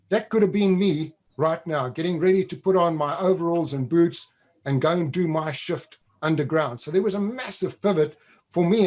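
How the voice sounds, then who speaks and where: A man's voice: high-pitched, darkish tone. A man from Africa, hosting a webinar over a video call and talking in an upbeat, conversational way. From South Africa. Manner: upbeat, conversational, leads the guest with questions. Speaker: webinar host. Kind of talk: webinar presentation.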